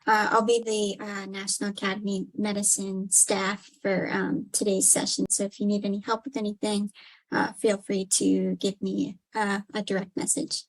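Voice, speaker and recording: A woman's voice: mid-range voice, little pitch movement, moderate pace, no room sound, poor audio. A woman staff member giving a matter-of-fact introduction on a video call. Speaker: event staff.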